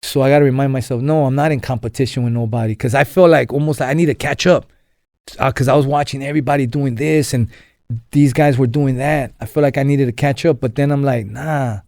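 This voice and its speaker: A man's voice: mid-range voice, fairly even intonation, a little fast, darkish tone, no room sound, clean studio sound. A young man from Los Angeles, a podcast guest telling his story in a rambling way, full of fillers and restarts. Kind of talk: casual storytelling interview.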